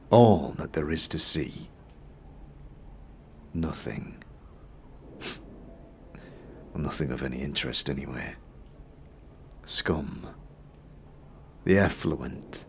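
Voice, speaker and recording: A man voicing a disdainful, calculating moneylender in a fantasy game audio series, with a condescending, drawn-out and measured delivery. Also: extremely low pitch, a little hiss or hum, voice pushed down, full character voice. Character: disdainful, calculating male moneylender, smug and snobbish.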